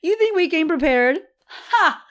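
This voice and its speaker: A young woman co-hosting a podcast in casual chat, teasing and quick to laugh. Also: very high-pitched, animated, fairly bright tone. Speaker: podcast co-host.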